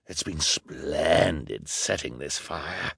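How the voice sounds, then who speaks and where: A man's voice: extremely low pitch, takes it slowly, creaky, a bit bright, slightly rough, no echo, studio quality, pitched below the performer's own voice, exaggerated voice. A man voicing a villainous male ringleader in an audiobook, snarling, impatient and contemptuous as he gives orders. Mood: contemptuous, commanding. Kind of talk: audiobook character acting.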